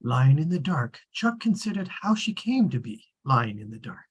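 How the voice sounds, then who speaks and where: A man reading as a wry, measured storybook narrator with a husky, rough-edged voice at a scripted table read. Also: on the high side, big pitch swings.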